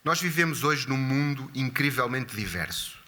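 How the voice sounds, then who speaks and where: A man with a European Portuguese accent giving a formal, partly read lecture, halting and repeating words as he goes. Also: medium pitch, lively intonation, bright, forceful tone. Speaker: guest lecturer. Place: lecture before an audience at an academy.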